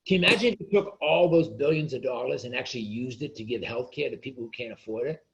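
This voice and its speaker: A man's voice: mid-range voice, quick pace, lo-fi sound. A man being interviewed as an author, speaking indignantly and often restarting his sentences. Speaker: author and guest. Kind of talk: interview conversation.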